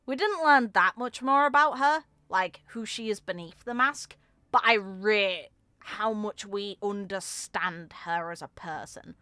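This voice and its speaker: Casting: female game content creator, casual musing commentary, mid-pitched and bright, very dry sound. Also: measured pace, clean tone, no echo. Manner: informal, musing aloud.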